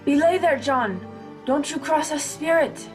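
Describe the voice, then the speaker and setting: Superstitious pirate crewman female actor, radio drama, highish voice, old sailor dialect, music underneath. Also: unhurried. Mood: uneasy. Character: superstitious male pirate crewman, fearful of ghosts. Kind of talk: radio play acting.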